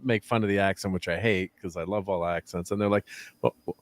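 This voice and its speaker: A man's voice: low-pitched, steady tone, quick pace, no echo. A man from the New area, co-hosting a casual livestream, rambling and chuckling with lots of "you know"s. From New York area. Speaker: co-host.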